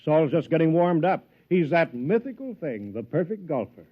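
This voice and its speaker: A man's voice: on the high side, sing-song, moderate pace. A man playing a smug, needling rival in a 1950s radio drama, taunting and mocking as he draws out his words. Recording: very muffled, faintly noisy 1950s radio recording.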